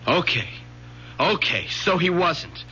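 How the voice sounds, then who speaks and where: A scared defensive son arguing with his mom, highish bright voice, muffled old radio. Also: animated, slow, a little hiss or hum, exaggerated voice. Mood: agitated. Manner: agitated and defensive. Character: frightened defiant young man, a son. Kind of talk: 1970s radio drama acting. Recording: dull lo-fi old radio broadcast.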